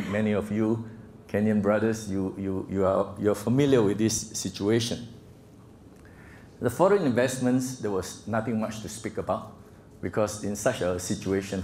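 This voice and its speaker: A Singaporean man in his sixties giving a slide presentation to a group, speaking in a halting, gently humorous way. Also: fairly low pitch. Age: 60s. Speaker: guest speaker. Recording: echoey room with faint background noise.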